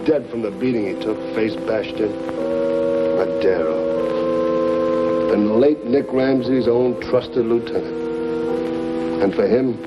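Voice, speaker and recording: An actor playing a hard-bitten, cynical police detective in an old crime drama. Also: male voice, on the low side, slow pace, long pauses. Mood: brisk, cynical. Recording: muffled old broadcast, loud music behind.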